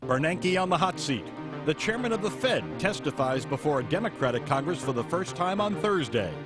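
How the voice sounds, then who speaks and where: Highish guy doing tv show promo, very lively delivery, music under it. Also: fairly quick. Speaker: television promo announcer. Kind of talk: scripted programme promo.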